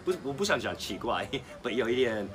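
A man's voice: mid-range voice, very animated, fairly quick. A man from New York with an American accent, hosting a casual, breezy interview with plenty of fillers and questions. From New York, United States. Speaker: host of a video channel. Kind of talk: casual chatty interview, switching between English and Mandarin. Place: outdoor garden seating by apartment buildings.

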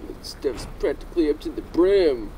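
A man voicing a naive, excitable male alien warrior in a game machinima, talking in a childlike, goofy way. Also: fairly high pitch, moderate pace, slight background noise, natural voice, low-quality recording. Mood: dopey. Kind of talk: amateur game machinima acting.

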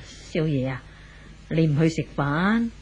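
An actress playing a deferential, solicitous household servant in a 1970s radio drama. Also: female voice, low-pitched, animated, slow pace, darkish tone. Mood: respectful. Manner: solicitous, respectful. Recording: dull, noisy old radio tape.

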